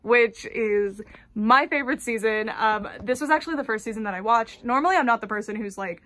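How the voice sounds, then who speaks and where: A woman's voice: on the high side, brisk, sharp tone. A young American woman with an American accent giving a chatty, gushing tour of her doll collection, full of ums and likes. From United States.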